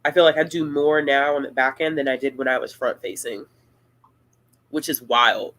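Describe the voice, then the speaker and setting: A woman hosting a casual live-stream Q&A, talking in a relaxed, rambling and playful way. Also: extremely low pitch, normal speed. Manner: laid-back, rambling, playful. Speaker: booktuber host of a live Q&A.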